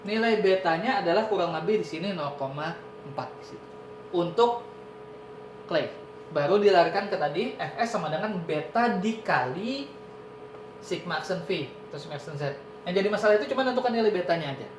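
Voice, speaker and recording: A man's voice: lively intonation, fairly quick. A man giving an online university lecture, explaining step by step and often saying "nah" and "ini". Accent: Indonesian. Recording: echoey room with noise and rumble.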